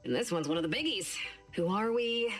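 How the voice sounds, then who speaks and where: A woman playing a calm, reassuring mentor in an animated game, with a gentle, measured, knowing manner. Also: mid-range voice, over loud music, natural voice. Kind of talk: animated game cutscene acting.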